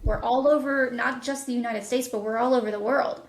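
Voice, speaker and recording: A woman with a fairly high voice talks casually in an interview, enthusiastic and emphatic about her points. The room around her adds clear echo, and she speaks as a creative producer guesting on a show. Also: steady tone, brisk. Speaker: podcast guest, indie audio drama producer. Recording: roomy, echoing voice.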